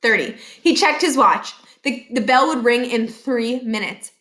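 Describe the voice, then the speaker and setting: Higher-pitched woman reading a children's book. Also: animated, a little slow, a bit bright. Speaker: storybook reader. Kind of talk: expressive read-aloud narration of a children's novel.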